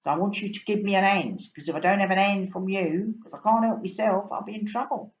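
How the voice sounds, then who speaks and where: A woman voicing a chatty male fish with a London Cockney accent, loud and folksy, in a roomy, slightly dull home recording. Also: mid-range voice, steady tone, a little fast, close to an everyday voice.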